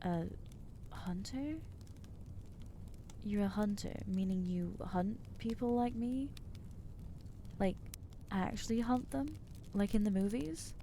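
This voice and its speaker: Girl doing an anxious new lady vampire for asmr roleplay, mellow voice, hesitant n guilty, kinda exasperated, faint rumbly noise behind. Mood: bewildered, overwhelmed. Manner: hesitant, halting, self-doubting, wryly exasperated. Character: anxious newly turned female vampire, guilty and overwhelmed. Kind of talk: ASMR roleplay acting to the listener.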